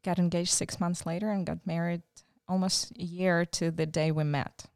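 A woman's voice: lowish voice, flat delivery, normal speed, a little mellow, no echo, studio quality. A woman in her thirties with a Ukrainian accent, speaking as an interview guest, thoughtful and hesitant with many fillers. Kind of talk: casual interview.

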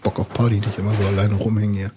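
A man's voice: fairly low pitch, level tone, very fast, mellow, slight background noise. A man casually improvising a first-person story as a player in a tabletop role-playing game.

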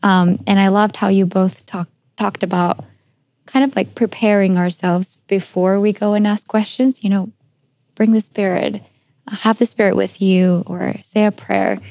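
A woman's voice: mid-range voice, a little slow, darkish tone, no room sound, clean studio sound. A woman hosting a conversational interview, speaking in an earnest, personal and reflective way. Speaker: podcast host.

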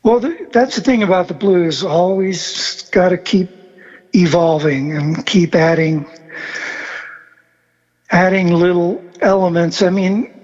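A man in his seventies, a musician guest born in Argentina and raised across South America, telling stories in a relaxed, rambling way with many fillers. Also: high-pitched, takes it slowly, highs cut off. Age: 70s. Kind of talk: casual conversational interview.

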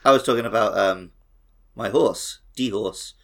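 A man's voice: fairly low pitch, measured pace, a bit bright. A man co-hosting a podcast in casual, conversational gaming chat. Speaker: podcast co-host.

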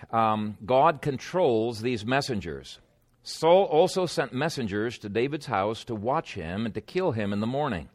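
A man preaching a conversational sermon as a pastor, explaining the text informally with personal anecdotes, pauses to find words and rhetorical questions. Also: medium pitch.

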